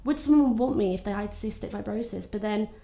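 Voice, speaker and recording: A woman's voice: mid-range voice, fairly quick, creaky, warm tone. A young woman with a nasal voice chatting casually as a guest, talking openly and filling her speech with "like". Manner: chatty, full of fillers. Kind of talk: casual chat. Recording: echoey room.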